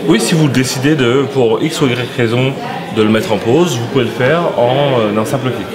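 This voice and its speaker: A man from France, a company head as interview guest, answering in a halting way with many pauses and restarts. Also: medium pitch, over a noisy crowd. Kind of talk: hesitant conversational interview answers.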